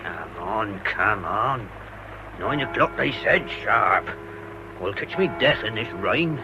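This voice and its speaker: An actor playing a blunt, grumbling English working-class senior verger in a British radio drama, speaking in clipped sentences. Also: male voice, fairly low pitch, expressive, slow pace, heavy background noise.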